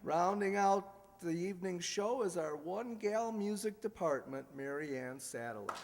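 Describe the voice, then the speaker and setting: A man's voice: high voice, animated, moderate pace. A man announcing a live old-time radio style show as its host, in a jokey, ad-libbing manner. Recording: echoey hall with background hiss.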